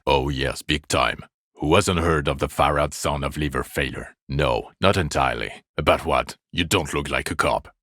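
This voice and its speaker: An actor playing a bitter, weary police detective in a video game, sardonic and exasperated. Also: male voice, very deep voice, a bit bright, no echo, clean studio sound, full character voice. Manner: sardonic, exasperated, foul-mouthed. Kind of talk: dramatic video game acting.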